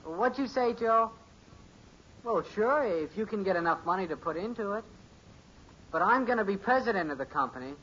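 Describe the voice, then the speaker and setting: An actor playing an eager, boastful teenage son in a 1950s radio sitcom, earnest and self-important. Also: male voice, high voice, animated, moderate pace, long pauses, fairly bright tone, husky, full character voice. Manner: earnest, self-important, hesitant under questioning. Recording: dull, hissy old radio recording.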